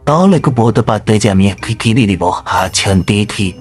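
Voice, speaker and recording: A concerned father with a lowish voice who questions his young daughter, as a man plays him. He sounds plain and steady in a homemade storybook. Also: fairly quick, faint music behind, natural voice.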